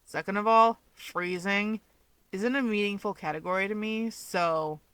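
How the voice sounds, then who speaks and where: A woman voicing a smug, sardonic young man who likes to needle his rival, deadpan and dismissive, in a fan fiction audiobook. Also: medium pitch, a little slow, no room sound, lightly acted.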